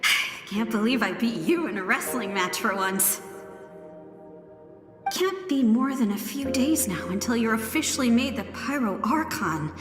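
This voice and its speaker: A woman's voice: on the high side, expressive, unhurried. A woman voicing a friendly, sporty young woman in an anime-style video game, playful and earnest. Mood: playful, wistful. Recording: long echoing reverb, music underneath.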